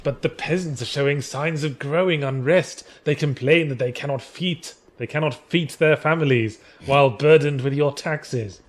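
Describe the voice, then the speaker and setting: Male courtier, done by a reader: servile, formal storybook delivery, pitched a touch high. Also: moderate pace, few pauses, natural voice. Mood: deferential. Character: servile male courtier reporting to his lord. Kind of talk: fantasy story reading.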